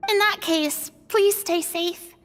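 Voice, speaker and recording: A woman voicing a small childlike fairy companion in a video game, squeaky, earnest and easily flustered. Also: slow, big-room sound, soft background music, full character voice. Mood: concerned. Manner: expressive, speaks of herself in third person.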